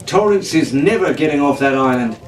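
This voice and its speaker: A man's voice: a little high, lively intonation, fairly quick, natural voice. A man voicing a cocky, cheerful teenage pirate captain with a breezy, swaggering, commanding manner. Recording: roomy, distant, noisy home recording.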